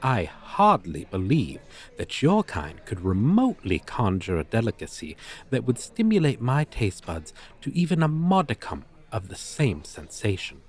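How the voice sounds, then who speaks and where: A man's voice: fairly low pitch, wildly swooping pitch, a little slow, slightly rough, exaggerated voice. A man playing a haughty, formal dragon teacher in an audio roleplay, with pompous, wordy, old-fashioned phrasing. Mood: haughty, amused. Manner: pompous, verbose, archaic formal diction. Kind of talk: ASMR roleplay, character acting.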